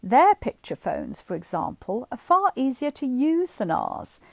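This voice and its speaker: High-voiced woman reading aloud, very animated. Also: measured pace, gravelly, dry recording. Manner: informal, chatty asides between expressive read passages. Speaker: guest reader of a bedtime story series. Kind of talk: live book reading with casual asides to online listeners. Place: indoors at home, on a live online stream.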